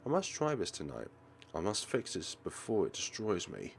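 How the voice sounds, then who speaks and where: A man's voice: sits low, huge pitch swings, normal speed, gravelly, a little hiss or hum, heavily acted. A man voicing a guarded, troubled teenage schoolgirl in a visual novel, hesitant and wary but hopeful. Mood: brooding, hopeful.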